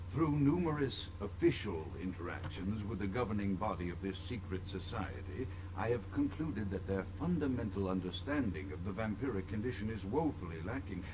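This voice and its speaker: A man's voice: fairly low pitch, background noise, lightly acted. An actor playing an eloquent, pompous vampire scholar in a video game, dictating his studies in formal, wordy, precise language. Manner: formal, verbose, precise and grandiloquent. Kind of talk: video game voice acting, dictated journal monologue.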